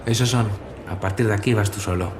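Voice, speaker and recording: An actor playing a blunt, skeptical prison guard in a radio drama, gruffly familiar and doubting. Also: male voice, on the low side, fast talker, background noise, lightly acted. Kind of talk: Spanish radio drama acting.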